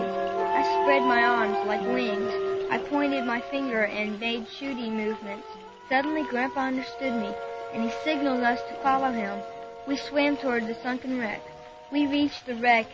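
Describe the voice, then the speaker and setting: Woman playing high-voiced earnest young boy narrator. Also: close to an everyday voice. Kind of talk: dramatic story narration. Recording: dull lo-fi recording, music behind.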